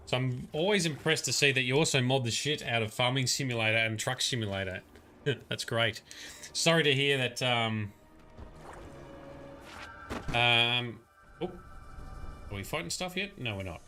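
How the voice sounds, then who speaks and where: A man's voice: medium pitch, normal speed, long pauses, bright, forceful tone, soft background music. A man giving casual, chatty commentary on a livestream while playing a game and talking to his viewers.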